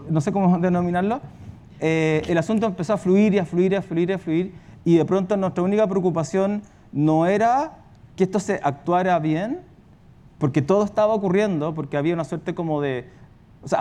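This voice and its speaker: A man's voice: on the high side, darkish tone. A Chilean man speaking spontaneously on a panel as a radio and podcast producer, in a colloquial, rambling, anecdotal way.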